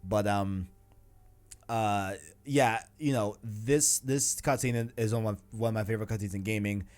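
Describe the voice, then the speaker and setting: A man's voice: on the low side, moderate pace, a little mellow. A man hosting a casual video game discussion, talking in a rambling, enthusiastic, slangy way. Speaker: podcast host.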